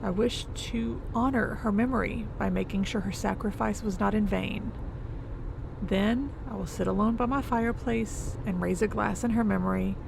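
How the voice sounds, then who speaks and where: An actress playing a composed, knowledgeable professor in an audio drama, with a creaky voice and precise, formal delivery. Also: female voice, medium pitch, steady tone, normal speed, background noise. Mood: solemn, composed. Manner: precise, formal, self-possessed. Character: composed, knowledgeable female professor, older than her companion.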